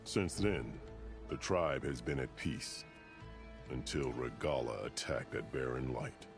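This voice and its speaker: Battle-worn game chieftain, bottomless low pitch, solemn, commanding, oratorical delivery, music behind. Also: male voice, exaggerated voice. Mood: grave, reverent. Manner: grave, formal, oratorical, measured. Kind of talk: video game dialogue, dramatic storytelling.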